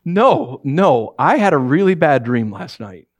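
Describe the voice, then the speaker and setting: Man acting a skeptical everyman with lively pitch. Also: a little slow. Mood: dismissive. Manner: hedging, argumentative. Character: skeptical, dismissive everyman, grumbling and easily rattled.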